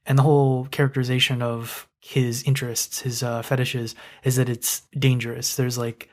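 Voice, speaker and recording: A man's voice: medium pitch, flat delivery, normal speed, darkish tone. A man co-hosting a podcast, explaining his points in a casual, analytical way with lots of filler words. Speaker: podcast co-host.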